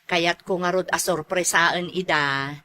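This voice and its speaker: An actress with an Ilocano accent playing a proud, reproachful elder sister who owns a farm, in a comedy drama. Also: female voice, lowish voice, fairly even intonation, a little slow, sharp tone, slight background noise. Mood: eager. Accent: Ilocano, northern Philippines.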